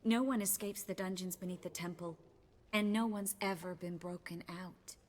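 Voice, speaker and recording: Actress as composed sorceress, world-weary, husky breathy voice, wry, measured, game acting, long hall reverb. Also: female voice, on the low side, steady tone, darkish tone, natural voice. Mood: grim, earnest.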